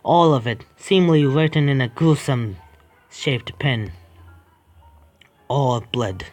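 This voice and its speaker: A man streaming a horror visual novel, reading its text aloud haltingly, stumbling over words and breaking off to complain at the game. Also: medium pitch, expressive, darkish tone, soft background music. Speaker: gaming streamer.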